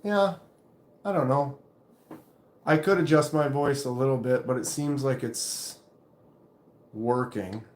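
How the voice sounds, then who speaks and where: Deep-voiced man chatting on a live stream. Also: takes it slowly, pauses often, roomy sound. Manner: relaxed, rambling, reads chat aloud. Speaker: knife channel live streamer. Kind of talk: casual live stream chat.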